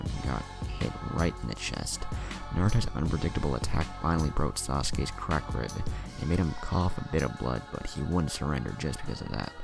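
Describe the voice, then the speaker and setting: A man with a gravelly voice narrating a scripted action story. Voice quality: very deep, gravelly. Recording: music under the narration. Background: music.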